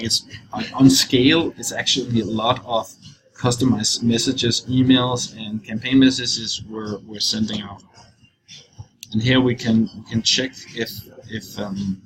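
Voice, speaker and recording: A young man giving an informal screencast walkthrough, explaining in a halting, loose way as he goes. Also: on the low side. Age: young adult. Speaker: software walkthrough presenter. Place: indoors, at a computer. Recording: clearly noisy screencast recording.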